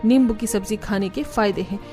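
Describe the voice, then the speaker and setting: A woman's voice: medium pitch, fairly quick, warm tone, faint music behind, natural voice. A woman voicing a stern older mother-in-law in a cartoon story, bossy and scolding. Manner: scolding, commanding.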